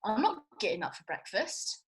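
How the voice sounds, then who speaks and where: A woman's voice: medium pitch, big pitch swings, normal speed, soft background music, lightly acted. A woman reading the part of a blunt, teasing young woman in a comedy script table read over a video call. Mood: unimpressed. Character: blunt, cheeky young woman in Regency costume. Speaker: actor in a script read-through.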